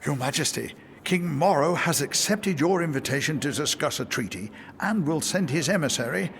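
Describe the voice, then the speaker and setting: An actor playing a loyal, deferential royal advisor in an audio drama, formal and courteous. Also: male voice, fairly high pitch, animated, moderate pace. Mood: composed, deferential.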